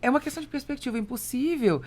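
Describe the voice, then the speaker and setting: A woman's voice: high-pitched, rapid-fire, a little mellow. A Brazilian woman hosting a business podcast in a motivational, emphatic conversational way. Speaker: podcast host, business owner.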